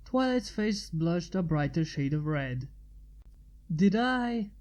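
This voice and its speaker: A woman's voice: low voice, lively intonation, measured pace, warm tone. A woman narrating a scripted piece of fan fiction as its reader.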